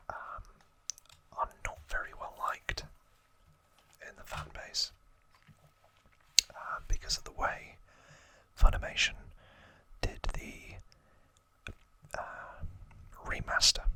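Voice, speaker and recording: An ASMR video host talks in a bright, sibilant whisper. The delivery is very slow and drawn-out, with soft phrases set apart by pauses, in the manner of a whispered trivia talk.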